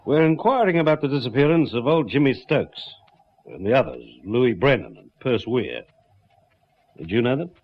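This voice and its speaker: An actor playing a firm, brisk, authoritative Australian police detective in a radio drama. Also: male voice, mid-range voice, big pitch swings, husky. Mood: brisk questioning. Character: determined, hard-nosed Australian police detective. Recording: dull lo-fi radio recording.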